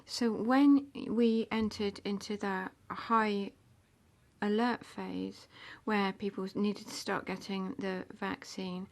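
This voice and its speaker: A woman giving live simultaneous interpretation at a hearing, quiet with an uneven pace. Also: mid-range voice, fairly even intonation, slow, vocal fry.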